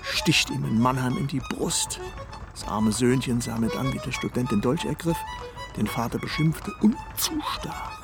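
Ageing self-important poet, Hessian accent, gravelly, lively swooping intonation, grumbling radio drama monologue, music behind. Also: male voice, medium pitch, measured pace. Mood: scornful musing.

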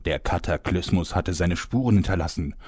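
A man's voice: sits low, moderate pace, a little mellow, slightly rough, dry recording, studio quality. An actor playing a vain, fed-up male narrator in a comedy audio play, pompous and theatrical. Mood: solemn storytelling. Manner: theatrical, pompous, self-important.

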